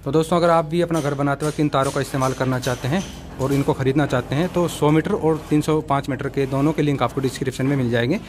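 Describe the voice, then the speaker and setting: A man's voice: medium pitch, fairly even intonation, quick pace, noisy background. A man giving a practical how-to explanation as a tutorial host, in an instructive, methodical way, addressing viewers as friends.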